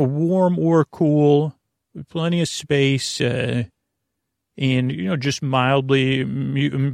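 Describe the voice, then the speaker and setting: A man with a creaky, gravelly voice at an average male pitch delivers a bedtime podcast monologue. He wanders softly from tangent to tangent, often stopping and starting, in a soothing manner. The sound is dry, with no room to it. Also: no room sound. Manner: meandering, tangential, halting; soothing, gentle. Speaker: podcast host.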